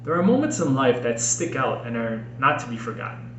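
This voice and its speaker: An American man with a medium-pitched voice reads personal writing in the style of a homemade audiobook. He is earnest and expressive, setting out his phrases with care. The room echoes noticeably around him, with a faint noise underneath. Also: fairly quick. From Minnesota, United States. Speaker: podcast host and author reading own book. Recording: roomy echo with faint background noise.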